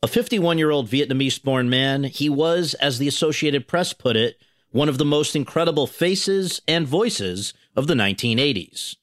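A man hosting a podcast interview in front of a student audience, reading a formal introduction in a measured way. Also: a little high, fairly bright tone, dry recording, studio quality. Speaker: podcast host. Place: university venue with audience.

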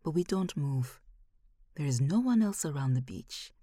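Low-voiced woman reading a story. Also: expressive, normal speed, warm tone, dry recording, studio quality. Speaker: narrator reading a short story. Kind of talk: literary first-person audiobook narration.

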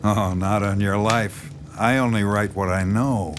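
A man's voice: lowish voice, steady tone, a little slow, loud music behind the voice, close to an everyday voice. A man playing a self-assured, subtly sinister horror writer in an audio drama, talking in a folksy, knowing, insinuating way. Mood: breezy, friendly. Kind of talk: naturalistic audio drama dialogue.

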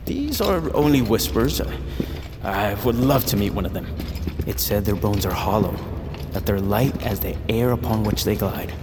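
An actor playing a curious, skeptical young highborn scholar, wry and inquisitive, in a fantasy audio drama. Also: male voice, animated, normal speed, background noise, close to an everyday voice. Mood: eager, dreamy. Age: young adult. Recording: long reverb, music behind.

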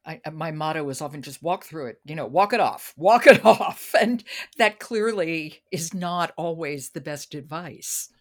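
A woman's voice: low-pitched, expressive, moderate pace. A woman hosting a podcast in a conversational style, talking about books. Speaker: podcast host. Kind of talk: conversational book discussion.

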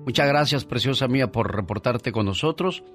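A man hosting a call-in radio show alone in the studio, chatting warmly and affectionately with a caller. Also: medium pitch, animated, moderate pace, over music. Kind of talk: live call-in radio talk. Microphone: studio microphone.

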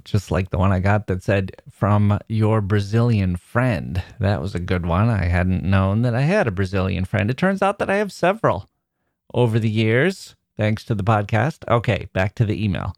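A man hosting a literature podcast, chatting casually and reading out a listener's email, self-deprecating and quick to chuckle. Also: lowish voice, no echo, studio quality. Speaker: podcast host.

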